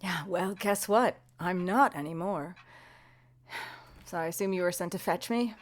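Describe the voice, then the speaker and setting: A woman's voice: lowish voice, measured pace, close to an everyday voice. An actress playing a sardonic, stressed woman homeowner who talks with dry, clipped sarcasm. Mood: guarded. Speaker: lead character.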